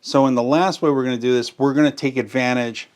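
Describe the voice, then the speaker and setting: Brief: middle-aged male gear demonstrator, mid-pitched, patient step-by-step explainer. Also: fairly even intonation, fast talker. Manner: explanatory, uses everyday analogies. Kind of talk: hands-on product tutorial.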